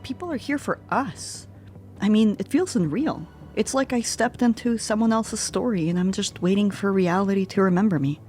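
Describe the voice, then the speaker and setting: A man's voice: sits high, animated, moderate pace, darkish tone, faint music behind, voice pushed up. A man voicing an anxious but spirited young woman musician in an audio drama. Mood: overwhelmed, wistful.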